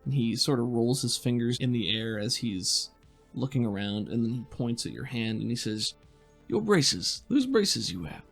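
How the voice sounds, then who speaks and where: A man with a creaky, fry-edged voice narrates a tabletop game. He works as game master, improvising descriptions at an ordinary male pitch over faint background music. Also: fairly even intonation, moderate pace.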